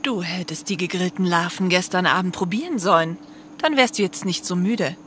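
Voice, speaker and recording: A woman's voice: on the low side, moderate pace, a bit bright, slight background noise, close to an everyday voice. An actress playing a stern, worried female scientist around forty in an audio drama. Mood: scolding, concerned.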